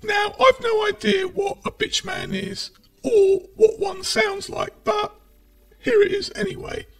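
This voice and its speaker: Guy voice-changed into a tiny squeaky cartoonish character, super high, processed, flat and offhand. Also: measured pace, vocal fry, faint music behind, full character voice. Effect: extreme pitch-raising voice changer.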